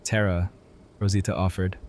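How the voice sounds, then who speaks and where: A man's voice: sits low, little pitch movement, normal speed, a little mellow, a little hiss or hum. A man playing an easygoing, curious survivor in an audio drama, with a casual, wry way of talking.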